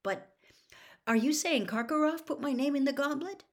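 A woman's voice: sits high, expressive, moderate pace, pitched above the performer's own voice. A woman voicing an anxious teenage boy wizard, asking alarmed questions in a raised voice.

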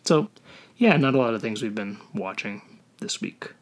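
A man's voice: on the low side, lively intonation, normal speed. A man in his thirties hosting a podcast in casual chat, upbeat and steering the talk with questions. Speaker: podcast host.

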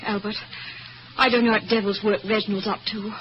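A woman's voice: mid-range voice, little pitch movement, brisk, lo-fi sound, close to an everyday voice. An actress playing a well-bred, indignant lady in an old radio melodrama. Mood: indignant, pleading. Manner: emotional, dramatic. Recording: noisy old radio recording.

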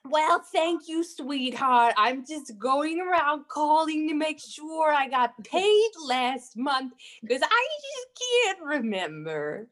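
A woman's voice: high voice, sing-song, slow pace, fairly bright tone, exaggerated voice. A woman improvising a comedy sketch as a sweet, forgetful cupcake shop owner, full of sugary endearments.

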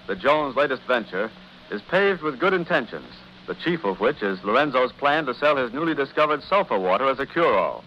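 Bright, forceful male radio serial announcer. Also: medium pitch, a little hiss or hum. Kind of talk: scripted radio serial narration. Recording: muffled old radio recording.

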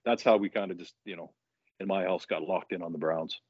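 A man's voice: sits low, fairly quick, slightly rough, no room sound. An older man from central Canada, a guest on a podcast, telling his story in an easygoing, casual way. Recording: dull dry lo-fi audio.

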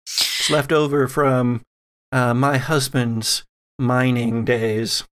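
A man playing a solemn, weary old grandmother who speaks gravely and haltingly in a tabletop roleplaying game. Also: mid-range voice, level tone, fairly bright tone. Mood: grim, wry. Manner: slow, grave, halting delivery.

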